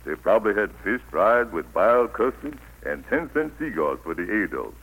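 An actor playing a kindly elderly preacher with a Southern US accent, telling stories in a folksy, patient way in 1940s radio style. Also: male voice, on the low side, very animated, moderate pace, fairly bright tone, husky, full character voice, low-quality recording. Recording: old 1940s radio broadcast, music behind.

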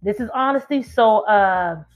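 A woman, co-hosting a casual talk show, speaking in an animated, emphatic way. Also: medium pitch, unhurried. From United States. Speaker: podcast co-host. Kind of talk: casual conversation.

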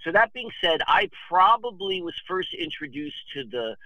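A man in his fifties talking casually as a podcast guest, telling rambling stories in an excitable, digressive, emphatic manner. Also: high-pitched, normal speed, dry recording, dull audio. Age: 50s. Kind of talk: animated rambling storytelling and casual chat.

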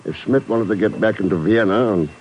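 Low-voiced cool radio secret agent played by a man. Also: a little fast, natural voice. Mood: confident, accusing. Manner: dry, understated, matter-of-fact. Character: cool, unflappable male secret agent, deducing and dry. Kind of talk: 1950s radio drama acting. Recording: muffled, noisy 1950s radio recording.

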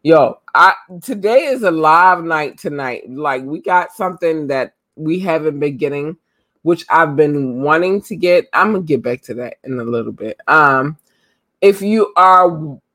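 A woman hosting her podcast, her voice getting hoarse. She talks loosely with lots of ums and slang. The sound is close and dry, with no room around it. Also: sits low, expressive, a little slow, fairly bright tone, no room sound. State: losing her voice. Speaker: podcast host. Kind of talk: casual live podcast talk.

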